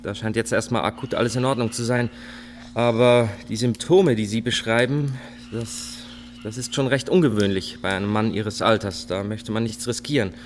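An actor playing a cautious hospital doctor in a radio drama, measured and hesitant, hemming as he explains. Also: fairly low pitch, fairly even intonation, a little hiss or hum, natural voice. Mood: cautious, explaining. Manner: hesitant, measured, with many hems. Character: cautious male hospital doctor, procedural and evasive. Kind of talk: German radio drama acting.

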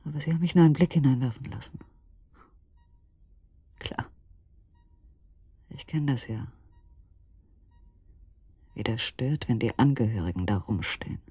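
An anguished wife keeping vigil through the night in a radio drama. Her voice is very breathy and husky, often sinking toward a whisper, and dark in tone. She speaks haltingly and intimately, close and dry. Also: a bass voice, expressive, no echo, full character voice. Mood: weary, brooding. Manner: halting, brooding, addressing someone who cannot hear.

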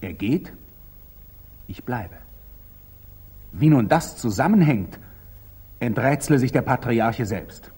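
Proud, husky knight, animated pitch. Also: male voice, slow, long pauses, full character voice. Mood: proud, grave. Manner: clipped, theatrical, wry. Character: proud, curt, sardonic young knight templar. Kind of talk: 1940s German radio stage acting.

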